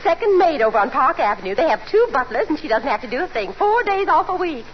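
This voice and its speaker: A brisk, rapid clerk. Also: female voice, high-pitched, sing-song, slight background noise. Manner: brisk, breathless sales talk. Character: brisk chatty employment agency clerk woman. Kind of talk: 1940s radio comedy acting. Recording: dull old radio broadcast.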